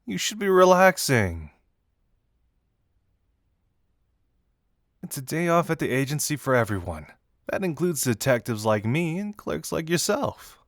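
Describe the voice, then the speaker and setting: A man's voice: a little high, big pitch swings, moderate pace, no room sound, clean studio sound. A man acting a playful, teasing young male detective in an audio roleplay, musing theatrically to the listener. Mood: friendly, welcoming. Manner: teasing, theatrical, musing hums and soft chuckles.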